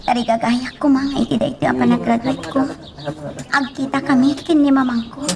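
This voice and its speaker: High-voiced wistful schoolgirl in radio drama woman acting. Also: little pitch movement, brisk, vocal fry, a crowd in the background. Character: wistful teenage schoolgirl about to graduate. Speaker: actor. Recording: very noisy radio drama recording.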